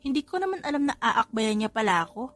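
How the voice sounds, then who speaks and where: A woman's voice: fairly high pitch, big pitch swings, fast talker, fairly bright tone, soft background music. A woman with a Filipino accent voicing a bashful but feisty young mother in an audiobook romance. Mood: defensive.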